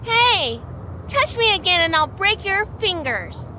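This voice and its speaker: A woman's voice: extremely high pitch, very animated, takes it slowly, sharp tone, a little hiss or hum, exaggerated voice. A woman playing a sassy, tough, wisecracking private eye in a noir radio drama. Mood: indignant. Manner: wisecracking, feisty. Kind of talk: noir scripted narration with acted dialogue.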